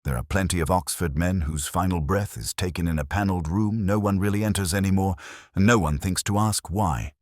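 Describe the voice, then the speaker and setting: A man narrating a first-person detective mystery in a measured, reflective manner, close to the microphone. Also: sits low, a little mellow, no echo.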